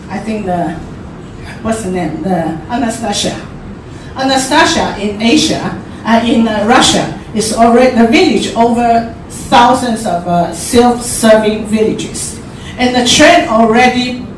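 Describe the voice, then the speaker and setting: A middle-aged woman with a Taiwanese accent giving an informal forum talk, haltingly, searching for words. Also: mid-range voice. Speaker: forum speaker. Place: large echoey indoor room at a forum. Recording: very echoey room, distant mic, clear noise.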